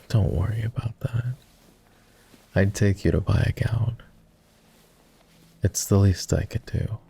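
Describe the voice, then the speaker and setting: A man playing a flirty, courtly vampire aristocrat in an intimate roleplay ASMR, with refined, formal, teasing speech in a low, gravelly murmur. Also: slow pace, pauses often, mellow, exaggerated voice. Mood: tender, flirty. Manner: refined, formal diction with playful teasing and sighs.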